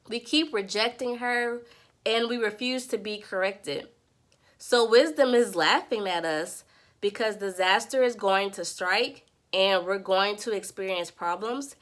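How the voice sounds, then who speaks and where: A woman's voice: mid-range voice, fairly bright tone. A woman who sounds congested from a sinus infection, earnestly summarizing scripture in a devotional vlog. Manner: earnest, preacherly teaching. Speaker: Bible study vlogger.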